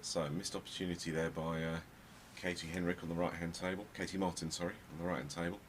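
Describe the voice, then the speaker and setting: Deep-voiced Belgian pool commentator. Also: male voice, level tone, fast. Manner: switches between English and French, wry. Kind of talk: live sports commentary. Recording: noisy live stream commentary.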